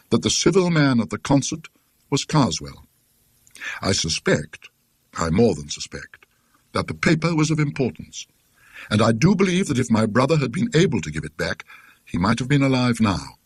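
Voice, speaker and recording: Man acting earnest, animated English gentleman, husky. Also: medium pitch, no echo. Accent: British. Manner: dry, donnish, emphatic. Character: earnest, suspicious bereaved English gentleman recounting events.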